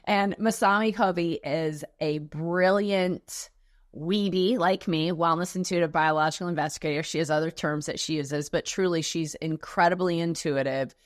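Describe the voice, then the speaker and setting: Low-voiced gushing woman host. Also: steady tone, normal speed, no room sound, clean studio sound. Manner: effusive, gushing praise with restarts. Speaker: podcast host. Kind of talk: warm interview chat.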